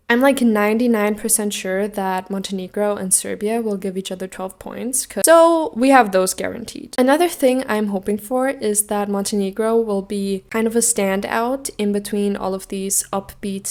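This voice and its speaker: A young woman from Austria giving casual, opinionated commentary as a video host, emphatic and jokey, swearing freely. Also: mid-range voice. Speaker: video host giving song contest predictions.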